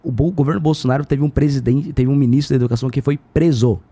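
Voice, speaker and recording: A man's voice: mid-range voice, fairly even intonation, fast talker, mellow, dry recording. A Brazilian man in casual political discussion, speaking colloquially and repeating himself for emphasis.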